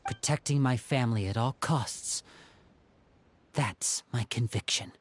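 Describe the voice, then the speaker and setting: Game role: loyal young man, acted. Earnest, respectful, soft-spoken, unsure of his own worth, medium register. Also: medium pitch, measured pace, slight background noise, lightly acted. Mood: earnest, determined. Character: earnest, loyal, self-doubting young man, devoted son. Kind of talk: scripted video game dialogue.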